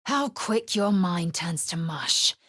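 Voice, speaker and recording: A woman playing a fierce, proud githyanki warrior in a video game, with a husky, raspy voice and a clipped, haughty, formal manner. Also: lowish voice, expressive, slow, fairly bright tone, dry recording, studio quality, exaggerated voice. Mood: proud, scornful. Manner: clipped, haughty, imperious, formal archaic phrasing.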